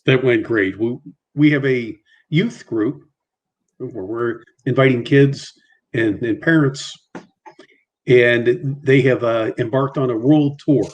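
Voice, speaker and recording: A man's voice: medium pitch, rough recording. A middle-aged man who stutters, speaking as a guest on a casual video call with many repeats and restarts. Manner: halting, frequent repetitions and restarts, mild stutter.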